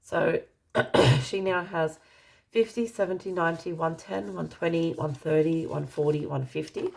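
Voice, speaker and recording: Woman, Australian accent, low voice, slow pace, slightly croaky, casual budgeting narration. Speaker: budgeting vlogger. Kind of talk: casual narration while counting cash.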